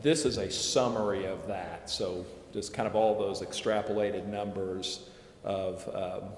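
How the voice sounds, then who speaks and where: Man, lowish voice, measured explainer, roomy council chamber, long reverb. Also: a little mellow. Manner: measured, explanatory, many fillers and restarts. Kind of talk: explaining at a public meeting. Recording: echoey chamber with long reverb, faint noise.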